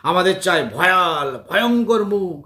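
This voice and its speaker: A male actor plays a middle-aged husband in a domestic comedy radio play, sarcastic and easily flustered. He speaks with a West Bengal accent in a theatrical, teasing way, hesitating for comic effect. The recording has a clear roomy echo. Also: high voice, animated, measured pace, bright, forceful tone, full character voice. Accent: West Bengal Indian. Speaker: actor in an audio drama.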